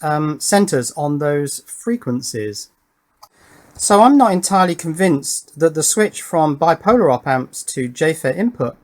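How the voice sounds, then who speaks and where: A man hosting a hobby project video, explaining technical details casually and thinking aloud in a deliberate, questioning way. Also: a little high. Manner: puzzled, deliberate, self-questioning. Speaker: host of an electronics project channel.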